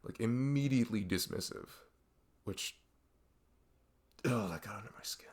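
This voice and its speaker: A man playing a best friend who confides about a fight with his parents in a casual, halting, rambling ASMR roleplay style. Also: fairly low pitch, darkish tone, natural voice. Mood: frustrated. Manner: halting, rambling, exasperated sighs.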